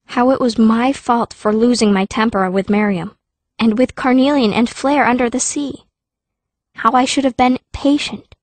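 A woman's voice: high voice, dry recording. A woman playing a fierce, earnest young girl mage who narrates her own story in an audiobook. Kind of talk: scripted first-person audiobook narration.

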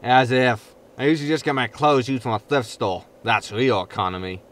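A man's voice: mid-range voice, moderate pace, close to an everyday voice. A man playing a grumpy, impulsive spaceship captain in a sci-fi sitcom audio drama, blunt and exasperated. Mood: brash. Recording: loud background noise.

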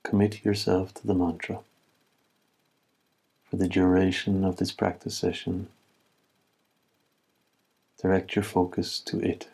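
A man leading a guided meditation as an instructor, speaking in calm, measured phrases with long pauses. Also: low voice, gravelly, breathy edge, rough recording.

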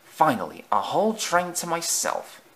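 Storybook tank engine, acted by a man: young, cocky, eager, very animated intonation. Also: on the high side, moderate pace, voice pushed up. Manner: breezy and boastful, stammering when cornered.

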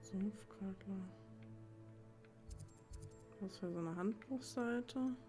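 A woman's voice: medium pitch, very slow, over music. A woman giving casual gameplay commentary, musing as if thinking aloud to herself. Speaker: let's play gamer.

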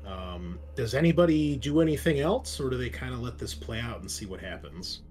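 A man narrating a tabletop role-playing game as game master in a halting, conversational way. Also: medium pitch, very animated, a little mellow, a little hiss or hum. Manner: conversational, halting with frequent 'like'.

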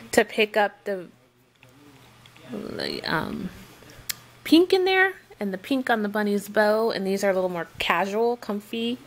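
A woman casually showing and chatting about outfits she laid out, gushing and pausing often with ums, talking at home. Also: medium pitch. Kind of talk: casual show-and-tell.

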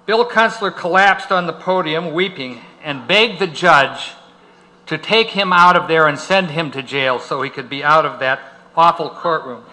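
A man's voice: a little high, normal speed, sharp tone, low-quality recording. An older man giving a prepared speech to an audience, telling a story in an emphatic, dramatic manner.

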